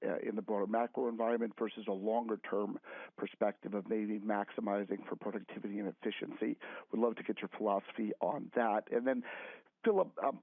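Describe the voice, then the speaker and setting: Male analyst dialling in: lowish voice, tinny phone sound, polite long-winded questions. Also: no room sound. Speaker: financial analyst asking questions. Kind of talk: conference call questioning. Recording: narrow, tinny phone line.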